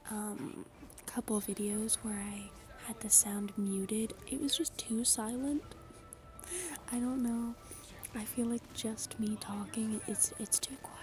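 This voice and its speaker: A young woman whispering her way through soft-spoken gaming chat. She rambles, with frequent ums, and her voice is a little raspy from a dry throat. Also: on the high side. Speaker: gaming YouTuber.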